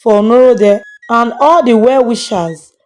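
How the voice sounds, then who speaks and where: A woman's voice: on the high side, a little slow, faint music behind. A woman storyteller giving a scripted narration of a moral folktale.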